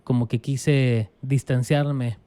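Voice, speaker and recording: Salvadoran man with a dark, smooth voice. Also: medium pitch, steady tone, takes it slowly. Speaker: pastor, guest. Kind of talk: casual interview, telling his life story.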